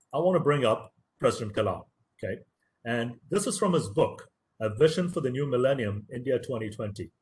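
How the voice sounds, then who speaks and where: A man whose first language is Tamil delivers a motivational lecture over a video call. His voice sits at an ordinary middle pitch, and he talks earnestly. He stresses key words and repeats phrases to drive his points home. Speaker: professor giving a webinar lecture.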